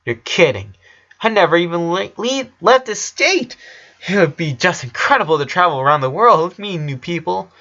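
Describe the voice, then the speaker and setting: Man voicing an excitable, higher-pitched young boy. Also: moderate pace, lo-fi sound, full character voice. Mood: eager. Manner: excitable, curious. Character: eager, wide-eyed eleven-year-old boy.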